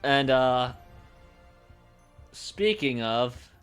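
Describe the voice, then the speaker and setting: A man talks casually on a livestream, thinking out loud at a slow pace. His voice is clear and smooth, with halting phrases that often trail off. Faint music plays behind him. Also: medium pitch, animated. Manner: halting, trailing off mid-thought. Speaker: streamer.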